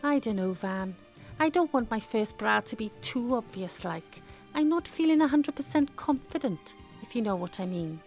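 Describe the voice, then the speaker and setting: A woman's voice: fairly high pitch, expressive, moderate pace, a little mellow, faint music behind. A woman playing an embarrassed, hesitant teenage schoolgirl with a Welsh accent in a radio drama. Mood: timid, unsure. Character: shy, embarrassed, defensive fifteen-year-old schoolgirl.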